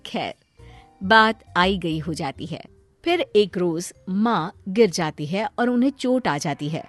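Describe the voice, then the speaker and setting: A woman's voice: fairly low pitch, expressive, takes it slowly, no room sound, soft background music. A woman narrating a scripted Hindi cartoon moral story as its storyteller.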